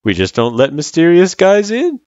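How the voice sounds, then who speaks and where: A man's voice: on the high side, wildly swooping pitch, normal speed, darkish tone, dry recording, muffled recording, pitched above the performer's own voice. A man voicing a laid-back, teasing, reassuring buddy with a casual, joking delivery in a story reading. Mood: casual comfort. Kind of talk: character voice in story narration.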